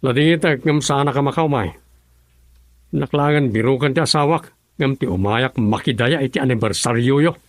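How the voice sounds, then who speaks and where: A man's voice: mid-range voice, normal speed, lightly acted. A man with an Ilocano accent playing a distraught husband searching for his wife in a radio drama, agitated and questioning. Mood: earnest.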